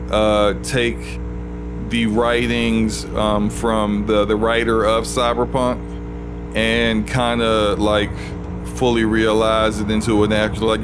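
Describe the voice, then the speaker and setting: A man with a deep voice chatting casually while he streams a video game, rambling and restarting his sentences. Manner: rambling, relaxed, frequent restarts. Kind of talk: casual gaming livestream commentary.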